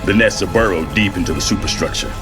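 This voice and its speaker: An actor playing a gruff, battle-worn older American general in a video game, with blunt folksy military delivery. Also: male voice, deep voice, fast talker, natural voice. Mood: grave briefing. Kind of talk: dramatic video game acting. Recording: dramatic music behind.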